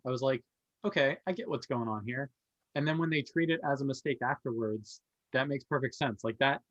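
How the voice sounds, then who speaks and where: Opinionated podcast man, mid-pitched and dry. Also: a bit bright, no room sound, muffled recording. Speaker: podcast host. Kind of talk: casual TV review discussion.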